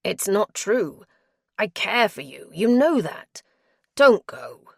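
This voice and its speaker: A woman's voice: mid-range voice, sing-song, takes it slowly, creaky, no echo, clean studio sound, pitched above the performer's own voice, full character voice. A woman voicing a cunning husband who begs urgently not to be left. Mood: pleading. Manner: urgent, begging. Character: pleading, cunning husband, grown man. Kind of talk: audiobook character voice.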